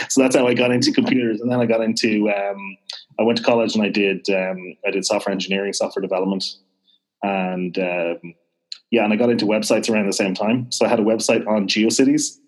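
A man with a mild Irish accent, chatting as a podcast guest, hesitant with many fillers and restarts. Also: on the low side. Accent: mild Irish (Dublin). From Ireland. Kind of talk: casual interview chat.

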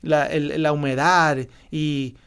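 A man's voice: on the high side, level tone, slow, fairly bright tone, clean tone. A man from Puerto Rico telling a group about a trip. He talks enthusiastically, repeats himself and searches for words. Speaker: guest sharing travel experience. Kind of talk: casual group conversation.

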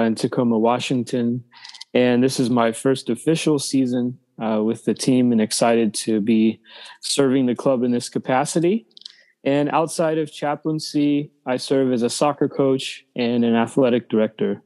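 A soccer coach and club chaplain introduces himself on a podcast in an upbeat, direct way. He speaks at a middle pitch. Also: moderate pace, a little mellow. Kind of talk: casual podcast chat.

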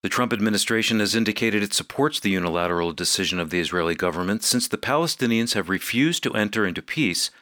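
A man's voice: fairly low pitch, lively intonation, quick pace, few pauses, pushed and bright, dry recording, clean studio sound. A man with an American accent reading scripted announcements as co-host of a radio program.

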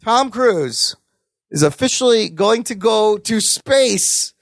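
A man hosting a podcast, chatting casually about news with co-hosts in an excitable, sweary way. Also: high-pitched, slow pace, gravelly, dry recording. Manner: excitable, profane. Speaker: podcast host.

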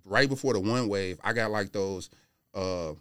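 A man with a low voice chats casually as a podcast guest. His talk is slangy and anecdotal, and it is recorded dry. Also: normal speed, fairly bright tone, clean tone, dry recording. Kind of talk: casual conversational chat.

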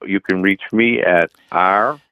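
A man's voice: sits low, fairly even intonation, takes it slowly, clean tone, no echo. A man with a Boston accent, a guest in an interview, speaking in a thoughtful, somewhat hesitant way. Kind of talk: casual interview. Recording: tinny phone line.

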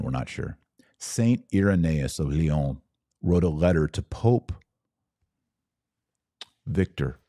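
A man hosting a podcast, teaching about religion informally, explaining with asides and emphatic pauses. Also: sits low, mellow, no room sound, studio quality. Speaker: podcast host. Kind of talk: informal religious teaching to a live online audience.

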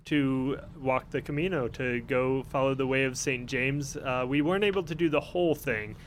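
A man's voice: mid-range voice, moderate pace, slight background noise. A man, a priest visiting as a podcast guest, telling of his walk with dry, wry humor. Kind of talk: casual chat.

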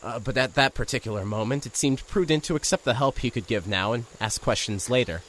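An actor playing an awkward, wry radio host turned investigator, narrating an audio drama in the first person with some hesitancy. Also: male voice, medium pitch, brisk, fairly bright tone, slight background noise, natural voice. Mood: bemused.

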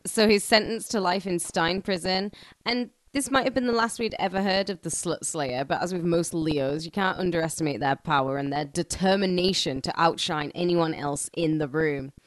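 Low-voiced, irreverent woman telling true crime. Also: moderate pace, no echo. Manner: irreverent, joking, slangy. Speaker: podcast host. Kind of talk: scripted true-crime narration with casual banter.

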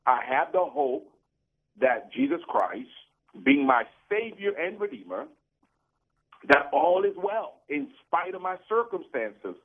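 A man's voice: fairly high pitch, vocal fry. Man from Cameroon on a tinny phone line. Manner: earnest, preachy, repeats words when starting. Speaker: guest, business program founder. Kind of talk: radio interview talk.

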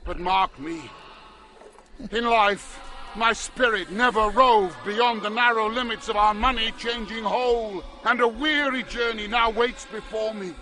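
Dead businessman's ghost, radio drama: very high, wailing, solemn and doom-laden, delivered at a crawl. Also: pushed and bright. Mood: grave warning. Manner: slow, solemn, anguished declamation. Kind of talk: theatrical family radio drama. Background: dramatic music.

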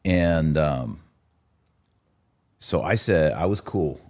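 Male podcast host, low-pitched voice, informal conversational storyteller who quotes dialogue as he goes. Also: lively intonation, drawn-out words, darkish tone.

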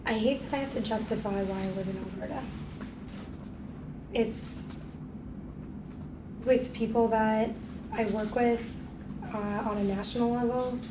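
A woman from Canada giving hesitant personal remarks as a participant in a group discussion. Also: fairly high pitch. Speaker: meeting participant. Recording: distant mic, echoey noisy room.

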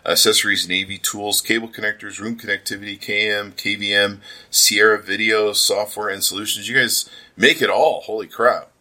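A man's voice: on the low side, steady tone, normal speed, few pauses, bright, forceful tone. A man hosting a casual promotional interview as a podcaster, talking with slangy, gushing enthusiasm.

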